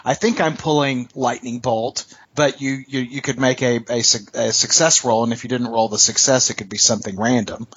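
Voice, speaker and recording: A man's voice: mid-range voice, fairly even intonation, moderate pace, hardly any pauses, fairly bright tone, husky, lo-fi sound. A man as game master, casually questioning a player and explaining rules in an agreeable, tentative way. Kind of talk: casual interview-like chat about tabletop gaming.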